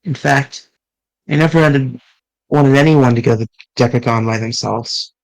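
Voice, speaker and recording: A man's voice: mid-range voice, dry recording, rough recording. Man earnestly reading game dialogue aloud. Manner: earnest, halting, with restarts.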